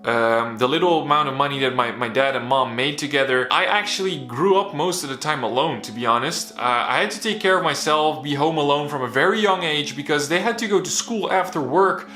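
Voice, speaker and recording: A man's voice: a little high, fairly quick, hardly any pauses, sharp tone, soft background music. Earnest young man in a roomy echo. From Netherlands. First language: Bosnian. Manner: earnest, candid, casually swearing. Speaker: vlogger. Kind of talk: personal motivational story.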